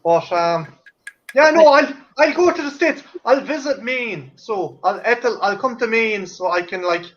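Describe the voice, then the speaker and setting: A man with an Irish accent chatting casually on a livestream, rambling and swearing, with halting restarts. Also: very high voice, animated, a bit bright, highs cut off.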